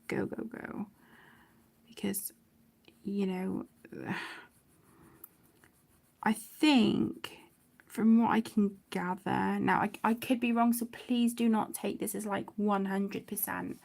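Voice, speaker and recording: A middle-aged woman chatting casually on a livestream, affectionate and apologetic, with phrases that trail off softly. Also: mid-range voice, vocal fry. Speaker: livestream host of an adult colouring channel. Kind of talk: casual livestream chat while colouring.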